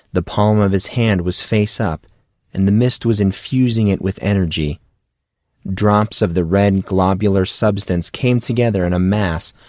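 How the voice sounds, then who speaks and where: Male fiction narrator, lowish voice, dry studio-tight sound, reading fantasy prose. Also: no echo. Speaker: audiobook narrator.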